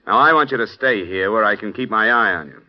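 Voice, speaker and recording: A man's voice: on the low side, fast, close to an everyday voice. An actor playing a tough male insurance investigator who speaks tersely and dryly, in a vintage radio drama. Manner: terse, dry, businesslike. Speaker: investigator and narrator. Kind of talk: 1950s radio drama acting. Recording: dull lo-fi vintage radio broadcast.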